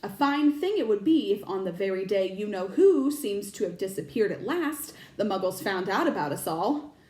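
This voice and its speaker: A woman's voice: medium pitch, big pitch swings, moderate pace, mellow, lightly acted. A woman voicing a stern, anxious older witch professor from a storybook, exasperated and emphatic, faltering when upset, in an echoey room. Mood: exasperated, urgent.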